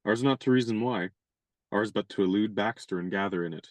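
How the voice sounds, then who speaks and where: A man playing a wordy, courtly young gentleman. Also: lowish voice, a little fast, dry recording, close to an everyday voice. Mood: genial. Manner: courtly, elaborate, playfully pompous phrasing. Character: genial young gentleman adventurer, chivalrous and wordy. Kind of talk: radio play acting.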